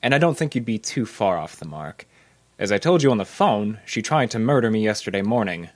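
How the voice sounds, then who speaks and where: Man acting a formal, low-voiced doctor. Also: animated, brisk, close to an everyday voice. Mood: formal, guarded. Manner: formal, precise, courteous. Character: formal, smooth, accusing male sanatorium doctor. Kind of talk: old-time radio mystery acting.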